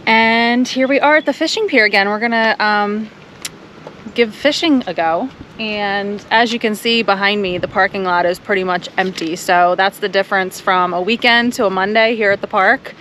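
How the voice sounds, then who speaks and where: A woman's voice: mid-range voice, normal speed, fairly bright tone, a little hiss or hum. A young woman chatting casually and cheerfully while vlogging a family camping trip outdoors, laughing easily. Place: outdoors at a beach and park. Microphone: action camera.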